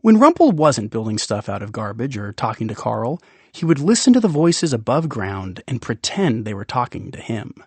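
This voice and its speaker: A man narrating a children's audiobook in an expressive, playful way with dramatic pauses. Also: medium pitch, breathy edge, no room sound. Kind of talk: expressive scripted storybook narration.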